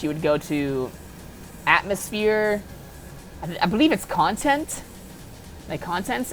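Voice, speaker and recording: A woman giving a casual, unedited software tutorial in a rambling, self-deprecating, apologetic way. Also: deep voice. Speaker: tutorial maker.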